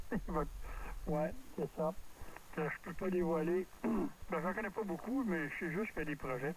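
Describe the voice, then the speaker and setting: A man's voice: a little high, moderate pace. Canadian french guy chatting on ham radio, hesitant, bit far off w some background noise. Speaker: amateur radio operator. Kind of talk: casual radio contact chat. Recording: distant radio signal with faint noise.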